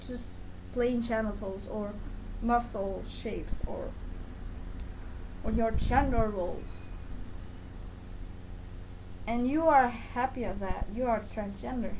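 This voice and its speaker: A young adult vlogger talking casually and personally to camera in a rambling, self-correcting, informal way. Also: medium pitch. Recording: noticeable background noise.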